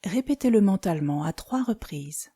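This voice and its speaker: Woman doing guided relaxation, soft dark mellow tone, calm n soothing, leaves pauses between phrases. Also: medium pitch, slightly rough. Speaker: yoga nidra instructor. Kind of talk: guided meditation.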